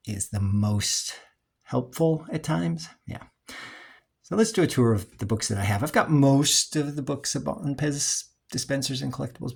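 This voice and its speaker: A middle-aged man giving a casual, unscripted video tour as a collector, rambling and thinking aloud with hesitant pauses. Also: mid-range voice, gravelly, breathy edge.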